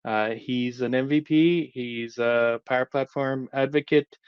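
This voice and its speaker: A man's voice: medium pitch, fairly even intonation, a little slow, smooth, no echo. A man co-hosting a show, joking, with many filler "uh"s. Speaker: co-host. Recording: dry, dull lo-fi audio.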